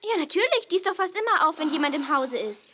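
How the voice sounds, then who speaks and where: A woman's voice: high-pitched, animated, fast talker, a bit bright, a little hiss or hum. A woman playing a chatty, spirited young girl in a children's audio drama. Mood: puzzled, defensive.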